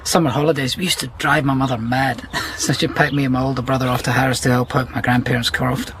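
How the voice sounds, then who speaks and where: An actor playing a reserved, gentle, nature-loving man with a Glasgow Scottish accent in a radio drama, plain-spoken and understated. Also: medium pitch, little pitch movement, quick pace, noisy background, lightly acted. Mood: reminiscing.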